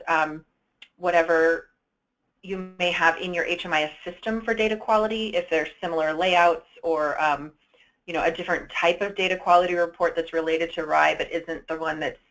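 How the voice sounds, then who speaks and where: A woman's voice: low voice, a bit bright, low-quality recording. A woman co-presenting on a conference call, giving unscripted, careful, advisory explanations. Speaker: co-presenter giving technical advice. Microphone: telephone conference call.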